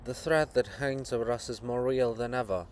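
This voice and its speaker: A man voicing a troubled princess monument, soft, grave and earnest, in a dramatic reading. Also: medium pitch, normal speed, a little hiss or hum, pitched below the performer's own voice, natural voice. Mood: afraid, grave.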